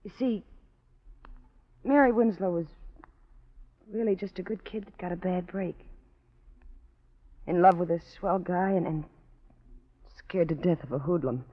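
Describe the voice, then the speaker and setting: An actress playing a worldly, guarded blues singer on the run, American accent, tough but hesitant, in 1940s radio noir style. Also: female voice, on the low side, unhurried, long pauses. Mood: wistful. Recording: dull vintage 1940s radio recording.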